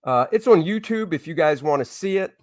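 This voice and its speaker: A man's voice: fairly high pitch, expressive, fairly quick, darkish tone, no room sound, studio quality. A man giving casual, jokey reaction commentary as an artist hosting his own channel. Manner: jokey interjections.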